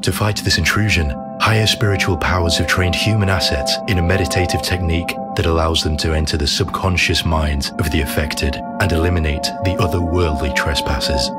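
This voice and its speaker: A man's voice: low-pitched, lightly acted. A man playing a solemn narrator who introduces a science-fiction audio drama in a dramatic scripted style. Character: solemn omniscient male narrator of a sci-fi saga. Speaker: series narrator. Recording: voice under loud music. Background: loud music.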